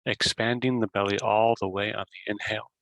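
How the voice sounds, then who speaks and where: A man leading a guided meditation as host, giving simple instructions in short phrases with long pauses. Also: fairly low pitch, steady tone, creaky, a bit bright, no echo, poor audio.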